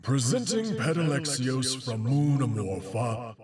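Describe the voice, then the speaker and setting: A man's voice: mid-range voice, animated, a little slow, darkish tone, reverberant, close to an everyday voice. A man voicing a frail old male announcer with a wavering voice, heard from a distance. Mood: formal.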